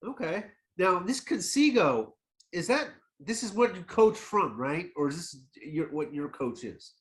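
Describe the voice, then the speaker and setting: A man's voice: sits high, very animated, a little slow. A man hosting a casual interview, asking laid-back, jokey questions. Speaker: podcast host.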